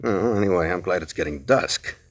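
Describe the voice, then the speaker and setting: Commanding detective captain, low husky voice, calm and clipped, 1940s radio play. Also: male voice, brisk. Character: steady male captain and detective, leader. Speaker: radio drama actor.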